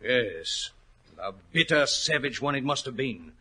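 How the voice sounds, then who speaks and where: Worried racehorse trainer, bright husky voice. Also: male voice, medium pitch, sing-song, unhurried, heavily acted. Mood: troubled, confiding. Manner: earnest, rustic turns of phrase, dramatic storytelling. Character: worried, plain-spoken racehorse trainer, anxious and respectful. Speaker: actor in a radio drama. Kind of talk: 1940s radio acting. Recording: old 1940s radio recording.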